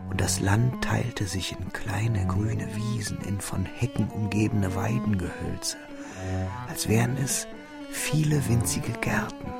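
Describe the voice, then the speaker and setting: A man narrating a literary radio drama in a measured, reflective way, as a young man recalling a friend, in a husky, creaky voice. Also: fairly low pitch, animated, over loud music, heavily acted. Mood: wistful. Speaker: first-person narrator.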